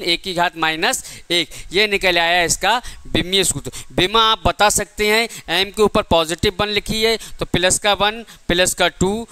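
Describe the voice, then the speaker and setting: A man's voice: sits high, bright, forceful tone, clear, resonant tone. A man teaching an exam-prep physics class step by step, often asking questions and answering them himself.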